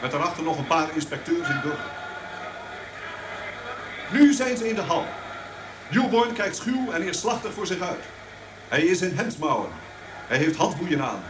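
An actor in a Dutch radio play playing an agitated live reporter, describing a scene in urgent, halting phrases. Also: male voice, fairly high pitch, very animated. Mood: tense, hushed. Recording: distant, echoey, noisy location-report sound.

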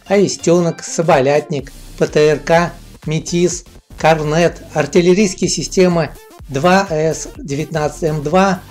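A man reading a scripted voice-over report, steady and measured, going through long technical lists. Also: fairly high pitch. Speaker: narrator of expo video report. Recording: voice-over with faint background music.

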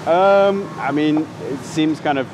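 Young man brewer, measured and technical. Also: a little high, a crowd in the background. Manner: measured, with frequent fillers. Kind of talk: technical explanation in a casual tasting chat. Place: large brewery floor among steel tanks. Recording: steady machinery hum behind.

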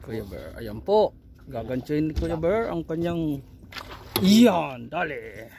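A man with a Filipino accent giving lively, excitable commentary for his fishing vlog outdoors on a boat, laughing often. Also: a little high, slow, gravelly, noisy background. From Philippines. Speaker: fishing vlogger. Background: loud music.

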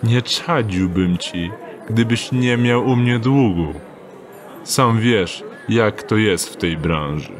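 A slow, low-voiced wry thief. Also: male voice. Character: wry, unhurried male master thief. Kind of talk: audio drama acting.

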